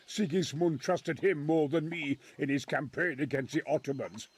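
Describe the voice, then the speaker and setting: An actor playing a nervous, middle-aged military engineer and former crusader in a video game, speaking earnestly in formal, old-fashioned phrasing. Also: male voice, a little high, normal speed, breathy edge. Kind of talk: dramatic video game acting.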